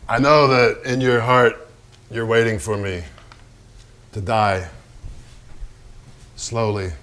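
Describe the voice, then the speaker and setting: Drinking painter for a stage drama, male actor, medium pitch, blunt, brooding, menacing, self-pitying. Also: steady tone, slow pace, a bit bright. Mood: brooding, accusing. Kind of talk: theatrical staged reading, narration mixed with dialogue.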